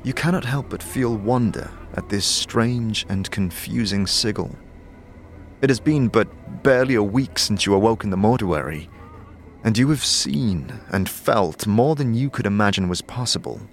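A man's voice: fairly low pitch, animated, moderate pace, soft background music. A man reading scripted fantasy narration as the storyteller of an audio drama, addressing the listener as you.

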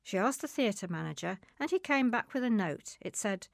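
A woman reads a literary memoir aloud at a measured, storytelling pace. Her voice sits at a middling pitch with plenty of creak, and the recording is close and dry. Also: expressive, no echo. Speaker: reader. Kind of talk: scripted literary reading.

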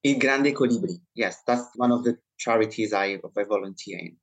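A man born in Italy, speaking as an interview guest in a measured, reflective way. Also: fairly low pitch, rough recording. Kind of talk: interview conversation.

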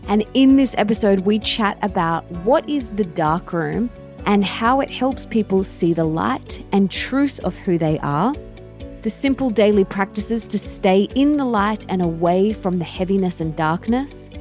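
A woman's voice: medium pitch, animated, a little slow, darkish tone, soft background music. A woman speaking as a podcast host, with an effusive, enthusiastic way of introducing and interviewing.

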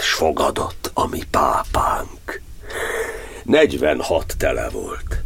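Deep-voiced, solemn persecuted cardinal. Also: male voice, gravelly, exaggerated voice. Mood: reverent. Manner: declamatory, elevated, measured verse delivery. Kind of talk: theatrical verse monodrama for radio.

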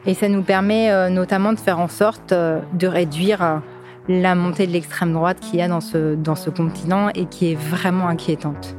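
A woman giving a spontaneous, halting interview answer as she searches for words. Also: fairly low pitch, level tone. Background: faint music.

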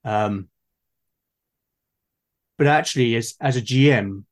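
Hesitant man with a mid-pitched voice. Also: slow pace. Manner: hesitant, repeats words while forming thoughts. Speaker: game master in discussion. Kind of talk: unscripted gaming discussion.

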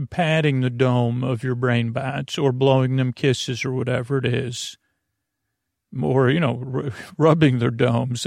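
Male podcast host, gravelly fry, meandering and gently silly bedtime monologue, close dry recording. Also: medium pitch, dry recording. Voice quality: gravelly, creaky. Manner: meandering, halting, digressive.

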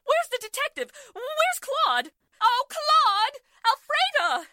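A very high, bright, middle-aged society matron in a 1940s-style radio play. She gushes and flutters theatrically, swooping across her whole range and always close to hysteria. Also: big pitch swings, takes it slowly, no echo, exaggerated voice. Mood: hysterical. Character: gushing, flighty, hysterical wealthy society matron.

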